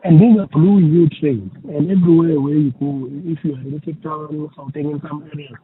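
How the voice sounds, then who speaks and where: A man speaks haltingly as a spokesperson in a live interview over a poor phone line. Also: on the high side, steady tone. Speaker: political party spokesperson on transport. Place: phone call.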